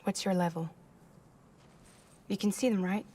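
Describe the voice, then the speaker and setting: An actress playing a guarded, wry young woman courier in a video game, cool and knowing, often dropping to a hush. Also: medium pitch, steady tone, measured pace, a little hiss or hum, close to an everyday voice. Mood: wry, probing. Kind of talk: cinematic video game acting.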